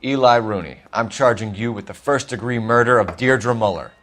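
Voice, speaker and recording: A man's voice: medium pitch, moderate pace, fairly bright tone, soft background music, close to an everyday voice. An actor playing a righteous, proud detective and army officer in a video game, an American man who speaks in a stern, probing, precise way. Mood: accusing, stern. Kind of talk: dramatic video game acting.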